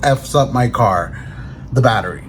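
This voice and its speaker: A man vlogging in a casual, rambling, joking way, his voice a little raspy and tired as he gets over an illness. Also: medium pitch, animated, measured pace. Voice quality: slightly raspy. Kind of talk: casual vlog chat. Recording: background music.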